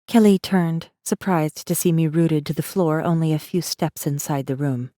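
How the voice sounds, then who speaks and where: A woman playing a guarded, secretive rebel messenger who narrates an audiobook in an intense, measured first-person style. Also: low voice, steady tone, mellow, dry recording, clean studio sound, lightly acted. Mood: tense, wary.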